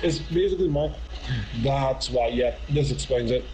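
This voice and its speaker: A man reacts live in casual, slangy chat. He talks informally and jokes around at a middling pitch, with clear noise behind him on the recording. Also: moderate pace, warm tone, low-quality recording. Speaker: reaction video streamer. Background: game showcase audio.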